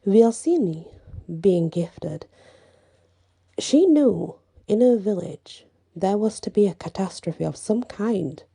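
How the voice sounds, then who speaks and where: A woman narrating a bedtime fantasy story in ASMR style, hushed and breathy, often slipping toward a whisper. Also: medium pitch, expressive, slow pace. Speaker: storyteller reading own fantasy fiction.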